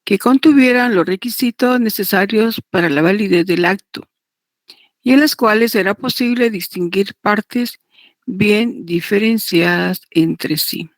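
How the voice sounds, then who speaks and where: The sort of deliberate woman you would hear teaching a law class, unhurried and repeating points, recorded close and dry. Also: medium pitch, no echo. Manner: deliberate, repetitive, checks understanding with tag questions. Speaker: law lecturer teaching notarial law. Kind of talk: didactic lecture, partly read aloud.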